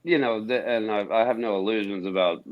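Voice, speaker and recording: A man with a very deep voice chats as a podcast guest, halting often and searching for words. His sound is dull and lo-fi, as if coming in over a remote line. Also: no echo. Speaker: guest musician and voice actor. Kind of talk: casual podcast chat.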